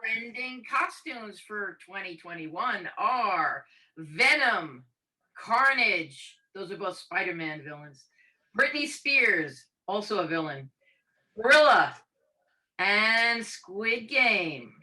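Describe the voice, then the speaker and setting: Bright, swooping woman presenter. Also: medium pitch, animated, slow pace, far from the mic, poor audio. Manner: theatrical, playful. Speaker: presenter of comic news segment. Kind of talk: mock news list reading.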